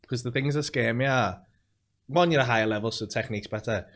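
A man hosting a podcast, asking rambling questions in a relaxed, casual way. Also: mid-range voice, quick pace. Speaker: podcast host.

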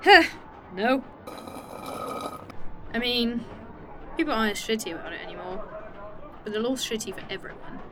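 A woman's voice: a little high, expressive, close to an everyday voice. Dry-witted time traveler, voiced by a woman. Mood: wry. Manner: dry, teasing, quick-witted. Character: snarky woman time traveler with a fake arm. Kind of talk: indie audio drama acting.

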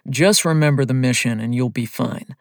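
A narrator voicing a steady, gruff male pilot in charge, in an audiobook. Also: medium pitch, normal speed, no room sound, clean studio sound, natural voice. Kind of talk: audiobook character voice.

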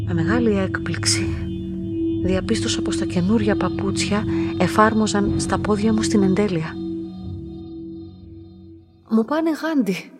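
A woman playing a lonely, submissive young narrator in a literary radio drama, speaking in a measured, introspective way. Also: mid-range voice, close to an everyday voice. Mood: tense, absorbed, then shy, surprised. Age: young adult. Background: music.

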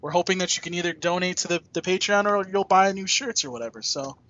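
A man's voice: high-pitched, quick pace, fairly bright tone. A man hosting a podcast in an informal, joking, salty-tongued way, chatting casually. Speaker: podcast host. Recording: dull, dry, lo-fi voice track.

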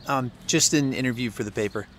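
Sardonic young male reporter, played by an actor, dry and restless, mid pitch, audio drama with ambience behind. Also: fairly quick, a bit bright, a little hiss or hum, close to an everyday voice. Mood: evasive, casual. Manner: dry, frustrated, wry. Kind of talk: naturalistic audio drama dialogue.

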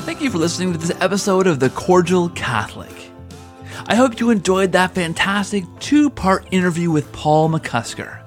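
A man speaking as a podcast host, effusive and thankful in his delivery. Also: fairly high pitch. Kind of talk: podcast interview and scripted sign-off.

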